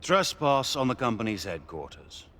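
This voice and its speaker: An actor playing an aristocratic, sceptical knight commander in a video game, speaking in a formal, measured, haughty way. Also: male voice, medium pitch, big pitch swings, pushed and bright, slight background noise. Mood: guarded, reluctant. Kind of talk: cinematic video game voice acting.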